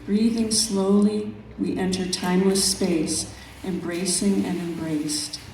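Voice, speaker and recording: A woman poet reading her own work at a live event, in measured, deliberate phrases with pauses. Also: mid-range voice, fairly even intonation, dark tone. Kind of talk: poetry reading at a live event, with brief spoken introductions. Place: echoey room at an arts event. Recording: very echoey room, distant mic, music.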